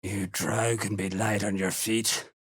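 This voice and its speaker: An actor playing a gruff, menacing cult slaver in a video game, with a rough voice and a curt, contemptuous manner. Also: male voice, on the low side, flat delivery, measured pace. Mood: gruff, businesslike. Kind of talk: video game character dialogue.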